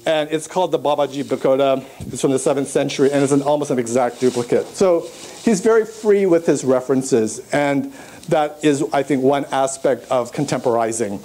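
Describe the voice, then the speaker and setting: A man born in Burma giving an academic conference talk on art, pausing often with uh and um as he walks through his slides. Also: mid-range voice, mellow, slight background noise. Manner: halting, many uh and um fillers, pointing to slides. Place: lecture room at a conference.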